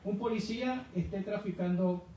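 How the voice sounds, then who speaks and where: A man's voice: sits high, normal speed, dark tone. A man giving a conversational Bible lesson as a church teacher, explaining didactically and putting rhetorical questions to his listeners. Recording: very echoey room, distant mic, faint noise.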